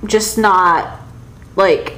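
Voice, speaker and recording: A woman's voice: mid-range voice, steady tone, a bit bright. A young woman with an American accent rambles hesitantly through a casual personal vlog, recorded at home on a device held at arm's length. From South Carolina, United States, originally Pakistan. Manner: hesitant, rambling, halting with long pauses. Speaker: vlogger. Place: small indoor room at home with shelves. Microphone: camera or phone at arm's length. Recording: echoey small room.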